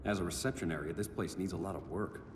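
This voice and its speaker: An actor playing a dry, sarcastic, sceptical newcomer hero in a video game cutscene. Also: male voice, low-pitched, quick pace, background noise, natural voice. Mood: dry, joking. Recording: long reverb, loud music behind.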